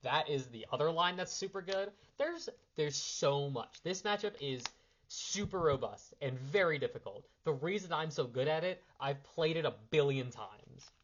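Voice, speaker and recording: A man's voice: on the high side, lively intonation, moderate pace, muffled recording. Slightly sick young man explaining card plays. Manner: rambling, self-correcting, handling cards while talking. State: a little sick. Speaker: card game player giving a strategy primer. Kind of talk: impromptu casual strategy explanation.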